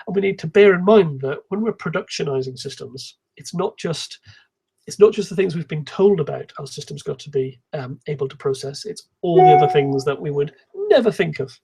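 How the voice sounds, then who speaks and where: A man giving an explanatory technical conference talk in a calm, measured manner, with a slightly husky voice. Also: on the high side. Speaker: conference speaker, software engineer.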